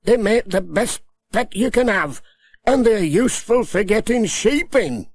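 A man's voice: high voice, expressive, unhurried, no room sound, voice pushed up, exaggerated voice. A man voicing a fussy older farmer, full of advice. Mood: advising.

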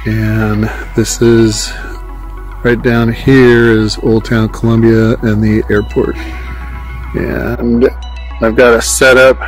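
A man's voice: mid-range voice, rough recording. A man narrating a casual outdoor vlog, informally thinking aloud as he explains his radio hobby, recorded outdoors on a handheld phone. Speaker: amateur radio hobbyist filming his outing. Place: outdoors on an open hillside at dusk. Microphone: handheld phone held by the speaker.

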